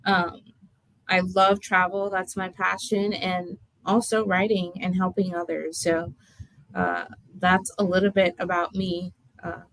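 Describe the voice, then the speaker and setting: A woman's voice: fairly low pitch, little pitch movement, unhurried, fairly bright tone, poor audio. A woman author from the Bahamas, introducing herself on a talk show in a friendly, composed way. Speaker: author guest. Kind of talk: interview self-introduction.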